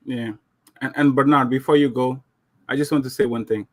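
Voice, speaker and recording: A man's voice: medium pitch, steady tone, fairly quick, no echo, studio quality. A man from Portugal with a Portuguese accent, calling in and arguing insistently in broken English. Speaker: caller. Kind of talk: heated call-in debate.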